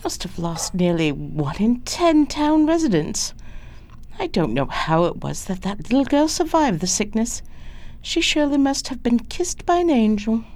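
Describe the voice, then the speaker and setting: Woman as a lively, creaky, fussing housekeeper. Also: a little high, moderate pace. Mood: gossipy. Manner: folksy, fussing, chatty. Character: chatty, motherly, gossipy housekeeper, folksy and fussing. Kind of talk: audio drama acting.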